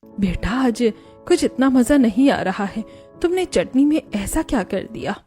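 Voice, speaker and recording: A woman's voice: on the high side, expressive, a little slow, mellow, soft background music. A woman voicing a fussy, chatty middle-aged mother-in-law in a cartoon, speaking with emphasis. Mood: puzzled.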